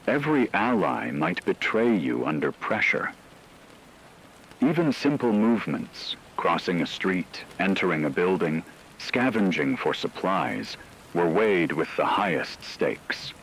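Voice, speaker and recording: Deep-voiced solemn male narrator. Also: animated, unhurried, creaky, fairly bright tone, slight background noise, poor audio. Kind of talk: scripted apocalyptic story narration.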